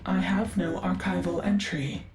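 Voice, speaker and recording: An actress playing a curious, analytical ship AI in a video game, speaking with measured, precise, formal diction. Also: female voice, lowish voice, steady tone, close to an everyday voice. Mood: puzzled. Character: curious, analytical female ship AI, cultured and wistful. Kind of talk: scripted video game dialogue. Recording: echoing voice with music behind. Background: game music.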